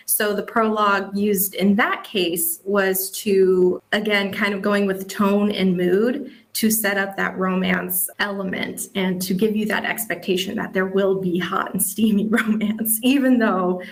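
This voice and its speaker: A woman working as an editor gives informal advice in a question-and-answer session, often dropping in "like" and "you know". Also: mid-range voice. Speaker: webcomic editor giving advice. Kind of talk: casual explanatory Q&A talk.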